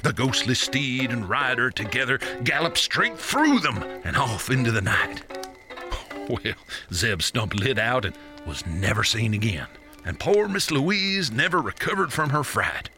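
An actor playing a folksy American frontier storyteller spinning ghost tales theatrically in a radio drama, repeating words for suspense. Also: male voice, mid-range voice, big pitch swings, measured pace, pushed and bright, faint music behind. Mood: ominous. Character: American frontier yarn-spinner telling tall ghost stories.

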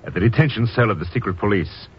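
An actor playing an earnest secret police deputy in a 1950s radio drama, formal and clipped. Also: male voice, fairly low pitch, fast talker, husky. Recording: dull, hissy old radio broadcast.

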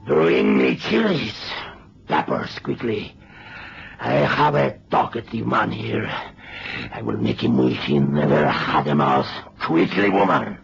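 An actor playing a smug, courteously cruel Mexican crime boss with a Mexican accent and formal, mocking phrasing in a vintage radio drama. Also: male voice, fairly low pitch, lively intonation, slow pace, vocal fry, full character voice. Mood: angry, menacing. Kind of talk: 1970s radio drama acting. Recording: muffled, hissy old radio recording.

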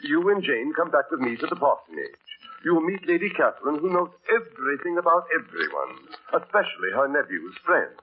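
A man's voice: fairly high pitch, big pitch swings, a little fast, few pauses. An actor playing a pompous, fawning and oblivious English clergyman cousin, gushing in 1940s radio drama style. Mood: excited. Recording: tinny, noisy old radio broadcast.

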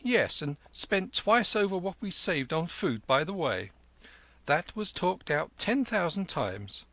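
A scolding peasant wife who never stops grumbling, as a male reader puts her on. Her voice shoots up high and loud, shrill and scornful, with a bright edge in a dry close recording. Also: big pitch swings, takes it slowly, dry recording, heavily acted. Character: scolding middle-aged peasant wife, sharp-tongued.

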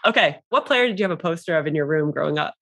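Fast-talking woman host, chatty. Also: deep voice, lively intonation, a bit bright. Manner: quick, chatty, laughs often. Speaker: podcast host. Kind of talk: casual chat.

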